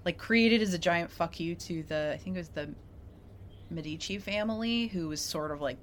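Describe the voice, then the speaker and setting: A woman with a slightly low voice talks as a podcast co-host. She is informal and enthusiastic, chatting easily. There is faint noise in the background. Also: moderate pace. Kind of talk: casual chat.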